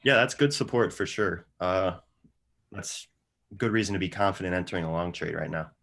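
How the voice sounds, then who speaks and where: A man working as a market analyst, giving explanatory live chart commentary in short, measured phrases. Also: deep voice, vocal fry, fairly bright tone.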